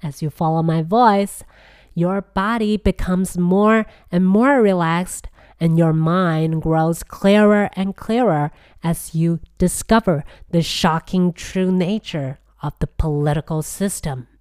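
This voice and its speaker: Husky, nasal woman speaking very slowly. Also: fairly low pitch, darkish tone, no echo, clean studio sound. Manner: grandiose, slow and deliberate. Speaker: podcast host. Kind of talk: scripted comic monologue.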